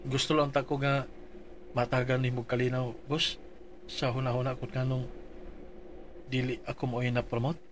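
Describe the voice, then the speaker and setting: A man's voice: mid-range voice, flat delivery, moderate pace, long pauses, slight background noise. A man reading out his dramatized complaint letter for a radio advice show, earnest and pleading.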